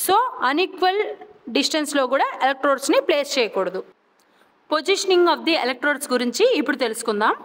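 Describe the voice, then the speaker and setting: A woman with a Telugu accent giving a scripted educational narration as a lecturer, deliberately repeating key terms and carefully enunciating English phrases. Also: sits high, lively intonation, fairly bright tone. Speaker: physiotherapy lecturer. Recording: long reverberant echo.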